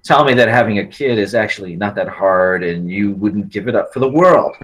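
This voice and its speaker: Man acting husky, nervous young father-to-be. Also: lowish voice, expressive, moderate pace, a bit bright, low-quality recording. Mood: panicked. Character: anxious, broke student, reluctant father-to-be. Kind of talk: table read of a film script.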